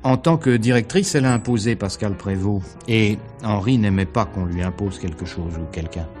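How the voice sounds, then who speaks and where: A man plays a discreet theatre agent in an old-style radio mystery. His voice is very low, his phrasing measured and guarded, with faint music underneath. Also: a little mellow, natural voice. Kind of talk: 1950s French radio mystery acting.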